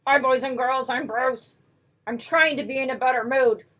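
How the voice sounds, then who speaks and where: A woman's voice: sits high, measured pace, pushed and bright, heavily acted. A woman voicing a grumpy bear who talks to children in short, grudgingly polite phrases. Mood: grudgingly friendly. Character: grumpy bear puppet-like character greeting children.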